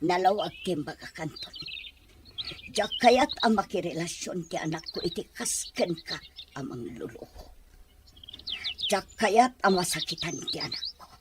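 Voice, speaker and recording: A woman's voice: on the low side, unhurried, very noisy, lightly acted. A woman with a Filipino accent playing a stern, wounded middle-aged mother in an audio drama, speaking with reproach. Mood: bitter, stern. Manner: emphatic, reproachful. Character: bitter, stern middle-aged mother, still hurt by lost love. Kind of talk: Ilocano audio drama acting.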